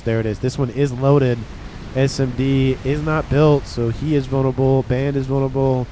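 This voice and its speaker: A man's voice: medium pitch, a little hiss or hum, low-quality recording. A man with a nasal, stuffed-up voice from a cold, casually commentating a strategy game and talking to his viewers. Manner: relaxed, rambling, talks to viewers. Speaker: game caster.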